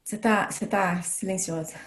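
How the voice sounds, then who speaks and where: Friendly woman in a meeting. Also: mid-range voice. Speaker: participant. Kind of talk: casual online meeting.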